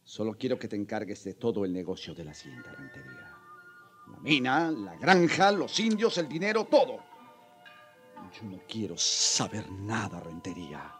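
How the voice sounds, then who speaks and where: A weary, troubled colonial priest in a Spanish radio drama. His pitch swings widely as he repeats himself in agitated insistence. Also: huge pitch swings, moderate pace, soft background music. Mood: weary, insistent.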